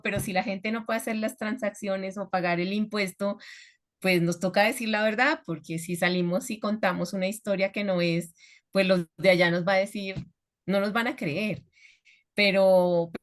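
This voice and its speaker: A woman with a Colombian accent, a communication trainer speaking conversationally and checking in often with her listeners. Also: mid-range voice. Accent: Colombian Spanish. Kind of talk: conversational talk.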